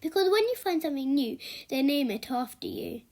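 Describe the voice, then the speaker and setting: A woman reading a children's book aloud as an expressive storyteller. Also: high-pitched, fairly quick.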